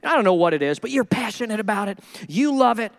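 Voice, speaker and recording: A male pastor preaching a sermon in an animated, joking way, acting out the people he quotes. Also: high-pitched, fast talker, vocal fry, in a large hall. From Minnesota.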